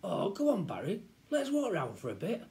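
A man's voice: sits high, huge pitch swings, normal speed, warm tone, voice pushed up, exaggerated voice. A British man voicing a left-out schoolboy, whiny and wheedling, in a children's story. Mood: pleading. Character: pleading, left-out northern English schoolboy.

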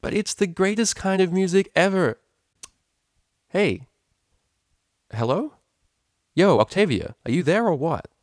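A man's voice: high voice, expressive, unhurried, long pauses, no room sound, clean studio sound, voice pushed up. A man voicing a laid-back, playful young female unicorn DJ who talks in casual slang. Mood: excited.

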